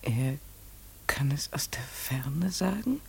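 A woman's voice: extremely low pitch, animated, slow pace, vocal fry, breathy edge, heavily acted. An actress playing a polite, curious young lady visitor in a German radio play, hesitant and shaken but brave, often near a whisper. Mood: dazed, whispering.

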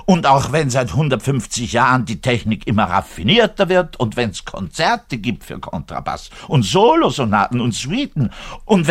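Cranky southern German bassist, wildly animated. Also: male voice. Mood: indignant, scornful. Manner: ranting, colloquial, swinging from outbursts to muttered resignation. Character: frustrated, self-pitying male orchestra double bassist, cranky and opinionated. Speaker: actor in a one-man radio monologue. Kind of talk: German radio play monologue, addressed to the listener.

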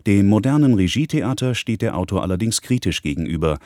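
A man with a deep voice reads a scripted radio introduction. His delivery is measured and factual, and the sound is close and dry, with no room around it. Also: lively intonation, dark tone, dry recording. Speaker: radio presenter.

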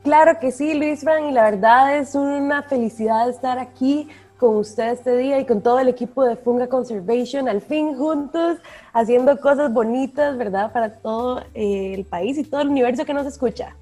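A woman with a Costa Rican Spanish accent co-hosting a podcast. She speaks warmly and with enthusiasm. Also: sits high, moderate pace, soft background music. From Costa Rica. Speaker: podcast co-host, environmental educator. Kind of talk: casual podcast chat.

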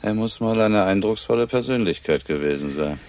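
An actor playing a sceptical, brooding middle-aged police inspector, dry and musing, in a naturalistic German radio crime drama. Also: male voice, on the low side, lively intonation, brisk, darkish tone, close to an everyday voice. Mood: dry, curious.

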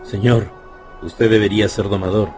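An actor playing a respectful, plain-spoken helper in a radio drama, earnest and deferential. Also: male voice, fairly low pitch, level tone, normal speed, darkish tone, faint music behind, lightly acted. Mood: respectful awe.

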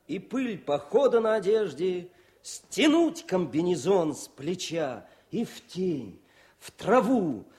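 An actor playing a young, modest, cheerful wartime poet and officer in a Soviet radio drama, speaking with expressive eagerness. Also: male voice, high voice, slightly rough, heavily acted. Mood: declaiming verse. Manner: expressive, eager, declaims verse.

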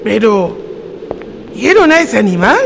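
An actor playing a jumpy, cursing, drunk Chilean villager in a radio drama, exclaiming irritably. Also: male voice, high voice, very animated, unhurried, gravelly, background noise, heavily acted. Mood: spooked.

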